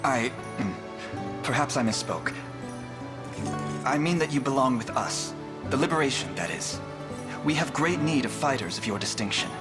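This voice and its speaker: Man playing an earnest young prince, medium pitch. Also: expressive, normal speed, long pauses, natural voice. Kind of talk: video game cutscene acting. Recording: loud game music behind. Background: game music.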